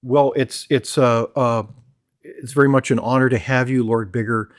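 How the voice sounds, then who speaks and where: A man's voice: mid-range voice, fairly even intonation, moderate pace, no room sound, clean studio sound. A man hosting an interview, asking formal, admiring questions with a few stumbles. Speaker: interview host.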